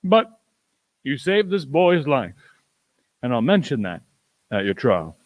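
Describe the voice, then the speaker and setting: A man playing a pompous, indignant gentleman squire in a radio play, grand and condemning. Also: medium pitch, sing-song, slow pace, dry recording, lo-fi sound.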